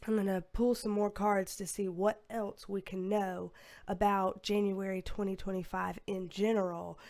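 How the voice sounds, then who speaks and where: A young woman talks through a tarot spread in a loose, rambling way, sighing and filling pauses with ums. Her voice sits in a middle pitch but is wearing thin and hoarse. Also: little pitch movement, a little mellow. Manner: rambling, filler-heavy, with sighs. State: losing her voice, a bit hoarse. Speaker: tarot reader. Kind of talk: casual psychic reading to camera.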